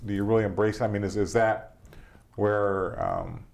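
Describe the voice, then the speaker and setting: A man hosting an interview program, who asks questions haltingly with many restarts. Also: fairly low pitch, little pitch movement, a little mellow. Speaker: host.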